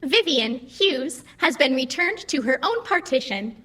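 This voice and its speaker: A woman's voice: high voice, big pitch swings, a little slow, pushed and bright, husky, exaggerated voice. A woman playing a perky, literal-minded, slightly testy computer assistant in an audio drama, chirpy, officious and pedantically precise. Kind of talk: scripted audio comedy-drama acting.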